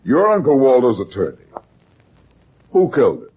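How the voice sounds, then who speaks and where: An actor playing a brusque, sardonic, wisecracking private detective in a vintage radio drama. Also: male voice, medium pitch, huge pitch swings, normal speed, husky, full character voice. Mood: needling. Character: hard-boiled, wisecracking male private detective. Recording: muffled vintage radio recording.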